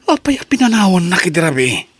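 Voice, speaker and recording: A man playing a sociable, dutiful young adult son in an Ilocano drama, with an expressive manner. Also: high voice, brisk. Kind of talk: Ilocano radio-style drama acting.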